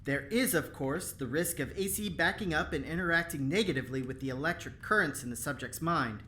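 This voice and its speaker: An actor playing an eager, insecure, chatty engineer in an audio drama, self-conscious and halting in delivery. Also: mid-range voice, bright, forceful tone, slight background noise, natural voice. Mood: earnest, self-conscious.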